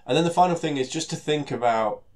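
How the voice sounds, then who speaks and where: A man with a British accent and a head cold that makes him sound nasal and stuffy, presenting a technical talk in a relaxed way, full of fillers and repeated words. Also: fairly high pitch, quick pace. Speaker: solution architect giving a technical talk.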